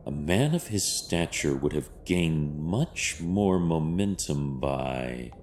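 A grim vigilante detective in an audio drama, with an extremely deep voice. He speaks formally and deadpan, stoic and commanding, over faint noise and ambience. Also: slow, full character voice. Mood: analytical, stoic.

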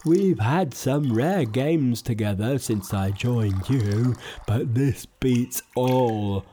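A man's voice: medium pitch, slow, mellow, slight background noise, close to an everyday voice. A man voicing a greedy, bumbling male pig pilgrim who mutters his complaints nervously. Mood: grumbling.

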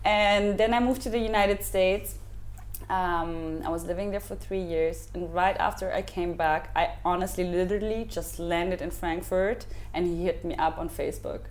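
A woman in her thirties, from Ukraine and raised in Germany, who speaks English as a second language. She is a podcast guest chatting casually and often pauses to search for words. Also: lowish voice, fairly even intonation. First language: not English. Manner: hesitant, searching for English words, with many fillers. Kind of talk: casual interview chat.

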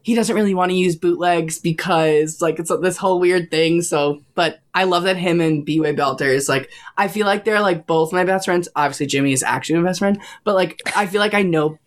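Young man, high timbre, bubbly, chatty, lots of fillers, guest on a call. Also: normal speed, few pauses. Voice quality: high-timbred voice often taken for a woman's on the phone. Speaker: Broadway actor guest. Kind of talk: casual podcast chat.

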